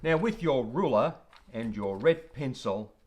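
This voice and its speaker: A man's voice: mid-range voice, animated, darkish tone, natural voice. A man voicing a stern, bullying, contemptuous male primary school teacher in a told story, with a commanding manner. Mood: instructing, formal. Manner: slow, commanding classroom instruction.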